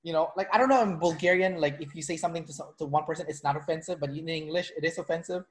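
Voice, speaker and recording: A man's voice: a little high, racing. Rambling man from the Philippines. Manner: rambling, filler-heavy, many restarts. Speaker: interviewer, personality trainer. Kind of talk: casual video-call interview.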